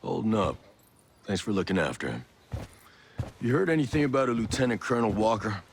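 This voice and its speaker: An actor playing a terse special forces soldier who asks short, clipped questions in a game cutscene. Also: male voice, lowish voice, sing-song, brisk, creaky, slight background noise.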